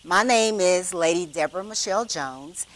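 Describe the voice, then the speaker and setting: A woman's voice: on the low side, expressive, moderate pace. Lady in her 50s running a church panel, keeps asking "okay?", bit of noise in the room. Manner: warm, conversational, checks in with "okay?". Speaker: host, moderator of a women's panel.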